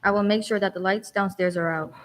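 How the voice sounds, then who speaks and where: A woman's voice: medium pitch, fairly even intonation, fast, close to an everyday voice. A woman playing a businesslike male hired killer in a radio play, talking in clipped, matter-of-fact phrases. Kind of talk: stage radio play acting. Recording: reverberant hall sound.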